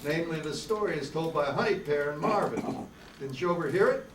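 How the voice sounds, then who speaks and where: A man's voice: fairly high pitch, brisk, a crowd in the background, lightly acted. A man playing a sardonic, wisecracking hard-boiled detective in an amateur old-time radio play. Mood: wry. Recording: echoey, distant, noisy room recording.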